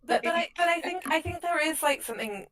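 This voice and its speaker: A woman's voice: very high voice, expressive, quick pace, fairly bright tone. A woman explaining things earnestly in a casual discussion. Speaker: audio drama creator.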